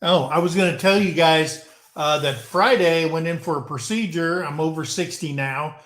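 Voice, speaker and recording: A man's voice: fairly high pitch, normal speed, a bit bright. A man in his sixties co-hosting a casual livestream chat, telling rambling stories with jokes. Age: 60s. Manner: joking, rambling storyteller. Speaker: co-host of a livestream chat show. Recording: echoey room.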